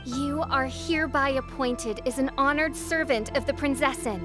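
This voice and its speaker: A theatrical young gothic princess from a video game, played by a woman. She declaims in a high voice with pompous, old-fashioned grandeur while music plays clearly behind her. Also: normal speed, lightly acted. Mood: grandiose. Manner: pompous, archaic dramatic diction. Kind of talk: video game character acting.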